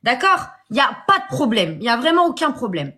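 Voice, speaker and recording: High-voiced woman hosting a live debate. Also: very animated, quick pace, sharp tone. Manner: hesitant, many fillers, calls speakers up. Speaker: live stream host.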